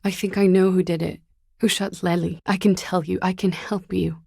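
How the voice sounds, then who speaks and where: A guarded, melancholic young woman on the run, speaks in a very low voice full of creak. Her delivery is subdued and confessional, breathy at the edges. The sound is very dry and close, with an intimate, evasive weariness. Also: level tone, normal speed, dark tone, no echo. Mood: pleading. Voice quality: low, breathy, husky and gravelly. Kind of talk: video game character dialogue, intimate and understated.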